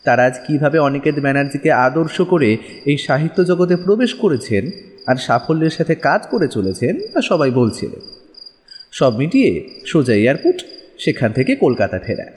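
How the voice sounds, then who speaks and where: A man's voice: on the high side, big pitch swings, moderate pace, darkish tone, slight background noise. A man narrating a scripted horror audio story in a dramatic storytelling style. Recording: long reverb, music underneath.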